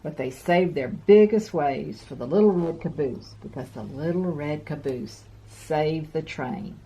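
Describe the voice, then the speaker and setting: A woman in her sixties reading a picture book to young children with deliberate, sing-song emphasis. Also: low-pitched, dark tone, slightly rough, poor audio. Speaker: children's storyteller, host. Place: carpeted room at home.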